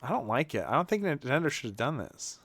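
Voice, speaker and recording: A man's voice: on the high side, sing-song, quick pace. A man livestreaming in a casual, blunt, sweary way as he reacts to videos. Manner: blunt, sweary, mock-exasperated. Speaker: streamer.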